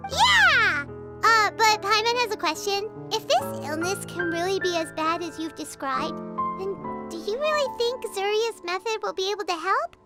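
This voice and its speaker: A woman voicing a small childlike fairy companion in a video game, squeaky, earnest and excitable. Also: normal speed, over loud music. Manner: refers to herself in third person, expressive.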